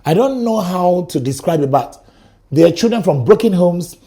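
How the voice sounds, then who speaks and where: A middle-aged man with a Ghanaian accent talks in a husky, gravelly voice that sits a little high for a man. The tone is dark. He tells stories in an animated way, acting out sounds as he goes. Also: normal speed. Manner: animated storyteller, acts out sounds and quotes. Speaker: guest, educator. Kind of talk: studio talk show conversation.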